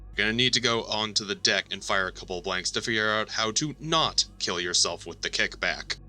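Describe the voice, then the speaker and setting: A man playing a gruff, blunt chief engineer and former boxer in an audio drama. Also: on the low side, a little fast, pushed and bright, slight background noise. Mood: matter-of-fact.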